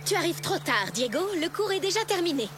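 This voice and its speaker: Principled cartoon señorita, high voice, strong-willed, indignant, reproachful, music in the background. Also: female voice, big pitch swings, fairly quick. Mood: cold, reproachful. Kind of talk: dubbed cartoon acting.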